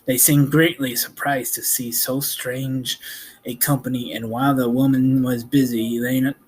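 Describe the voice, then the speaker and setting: A man narrating a storybook in an amateur, somewhat halting way. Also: medium pitch, level tone, breathy edge, low-quality recording. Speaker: host reading an audiobook.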